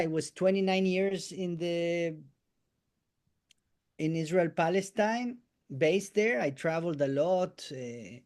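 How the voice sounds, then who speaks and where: Halting Argentine-born man on a call. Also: a little high, darkish tone. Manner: halting, many restarts and "eh" fillers. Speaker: guest musician and storyteller. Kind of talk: casual conversation, life story.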